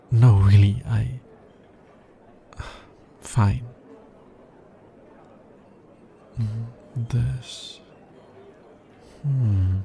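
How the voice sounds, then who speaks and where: Man playing a slow, gravelly, anxious alien scientist. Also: mid-range voice, mellow, a little hiss or hum. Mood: hesitant, uneasy. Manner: hesitant, formal, halting. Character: anxious, logical male alien scientist in love with a human. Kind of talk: ASMR roleplay, soft intimate acting.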